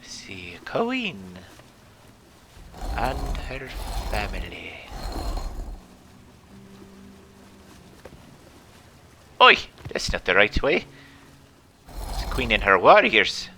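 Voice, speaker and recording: A man's voice: lowish voice, wildly swooping pitch, slow, pauses often, noisy background, full character voice. A man voicing a blustery, theatrical pirate captain who spins adventure tales in exclamatory old seafaring talk. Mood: eerie wonder. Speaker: storyteller and host. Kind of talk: in-character adventure game narration for children.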